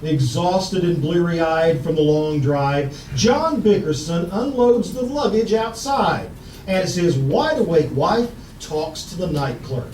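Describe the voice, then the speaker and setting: High-voiced male old-time radio announcer. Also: lively intonation, slow, a little mellow. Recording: roomy old radio, distant, faint noise. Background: music.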